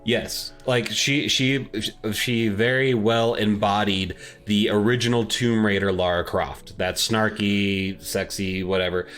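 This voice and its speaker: A man's voice: lowish voice, fairly even intonation, unhurried, few pauses, a bit bright, faint music behind. A man co-hosting a podcast, chatting casually about films and games in an informal, slangy way. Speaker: podcast co-host.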